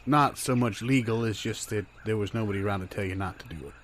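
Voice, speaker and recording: A man's voice: fairly low pitch, quick pace, slight background noise, lightly acted. A man playing a grumbling, muddled backwoods good old boy in improvised audio comedy, laconic and folksy.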